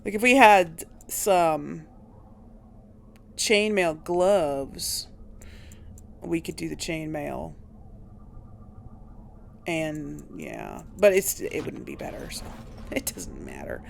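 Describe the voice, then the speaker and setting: A woman with a fairly low, creaky voice talks her way through a video game in a slow, musing fashion. She hosts casually, halting and thinking out loud to herself, with some game ambience behind her. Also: very animated, slight background noise. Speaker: host of a video game playthrough. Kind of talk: casual gameplay commentary, thinking aloud.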